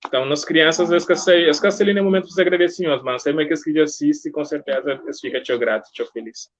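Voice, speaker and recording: A man's voice: fairly high pitch, fast talker. Man hosting, slightly muffled sound. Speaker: live-stream interview host. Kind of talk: casual live interview, reading out viewer comments. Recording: muffled lo-fi online stream.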